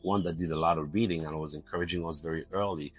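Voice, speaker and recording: A man's voice: low-pitched, steady tone, quick pace, slightly rough. A man in his early fifties with a Cameroonian accent, telling his own story conversationally as an interview guest, often repeating words as he forms his thoughts. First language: not English. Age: early 50s. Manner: hesitant, repeats words while forming thoughts.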